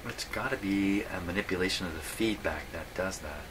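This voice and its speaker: A man's voice: sits low, fairly quick. A man thinking aloud casually as he narrates radio repair work in his workshop, musing and wryly self-deprecating. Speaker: radio repair hobbyist, host. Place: indoor electronics repair workshop. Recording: clear background noise in workshop.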